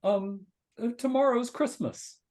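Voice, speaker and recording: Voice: medium pitch, unhurried, darkish tone, close to an everyday voice. A performer reading a meek, polite male frog clerk, hesitant and deferential, in a live dramatic reading. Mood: timid. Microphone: video call.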